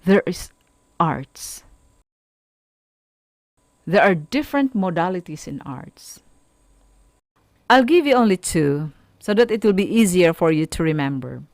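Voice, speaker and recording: Female educator, Filipino accent, unhurried and emphatic, with animated melody, for an instructional radio segment. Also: fairly low pitch, dry recording, studio quality. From Philippines. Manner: emphatic, deliberate, repeats key phrases. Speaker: speaker giving a mental health lesson on a school radio programme. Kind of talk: instructional talk with examples and tips.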